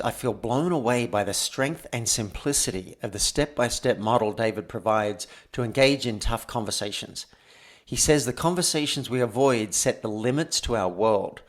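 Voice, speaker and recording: Measured male podcast host and coach. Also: medium pitch. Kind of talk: conversational coaching and show introduction.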